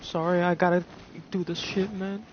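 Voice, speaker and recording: High-pitched man doing crude game commentary. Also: little pitch movement, moderate pace, a little hiss or hum. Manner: slangy, profane, joking. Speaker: game streamer. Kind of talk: casual, crude gameplay commentary. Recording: muffled mic, game music behind.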